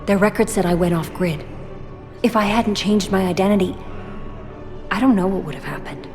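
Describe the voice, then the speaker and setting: A woman's voice: mid-range voice, moderate pace, a little mellow, faint music behind, close to an everyday voice. A woman playing a shaken, grateful attack survivor in a video game, speaking earnestly and confidingly.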